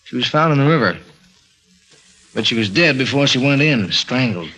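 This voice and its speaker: An actor playing a steady, probing homicide detective with matter-of-fact, clipped questioning in a 1940s radio drama. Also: male voice, medium pitch, normal speed, low-quality recording. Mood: grave, businesslike.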